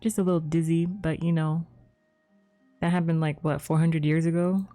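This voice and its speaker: A woman's voice: sits low, little pitch movement, normal speed, dark tone, soft background music. A woman streaming a game live, giving casual, playful, teasing commentary in an informal way.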